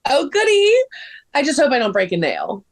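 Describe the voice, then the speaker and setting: A woman's voice: high voice, huge pitch swings, normal speed, heavily acted. A woman playing a bubbly, slightly vain young woman with a gushing, dramatic manner in a table read. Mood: giddy excitement.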